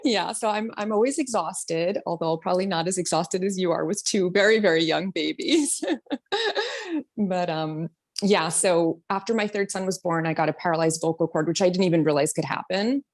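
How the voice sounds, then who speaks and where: A woman in her thirties from New York, a singer and voice teacher, telling her story in a relaxed interview with many ums and small laughs. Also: on the low side, moderate pace. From New York City. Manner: chatty, frequent ums and soft laughs. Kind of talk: casual interview talk.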